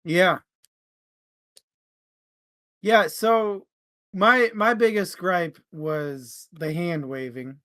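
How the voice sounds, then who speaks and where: A man with a slightly high, bright and forceful voice co-hosts a casual book discussion. He talks at an unhurried pace and gets animated when making a point. The sound is close and dry. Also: dry recording. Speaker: book podcast co-host.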